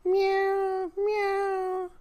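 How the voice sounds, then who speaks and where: Storybook cat chorus: very high, plaintive, drawn-out meowing speech. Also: male voice, completely flat delivery, darkish tone, full character voice. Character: chorus of countless thirsty, hungry meowing cats. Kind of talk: children's storybook reading.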